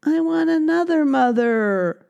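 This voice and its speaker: A woman's voice: lively intonation, slow pace, creaky, mellow, muffled recording, heavily acted. A woman doing a wailing baby mouse boy. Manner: shrill, wailing. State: crying. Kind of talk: storybook reading.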